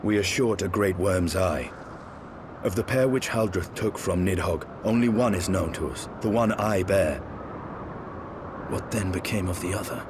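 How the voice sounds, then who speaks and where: An actor playing a grim, vengeful, war-weary knight in a fantasy video game, speaking in solemn, archaic phrases. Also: male voice, deep voice, moderate pace, noisy background, close to an everyday voice. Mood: grim, sombre. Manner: solemn, archaic formal speech. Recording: music behind the voice. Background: loud game score.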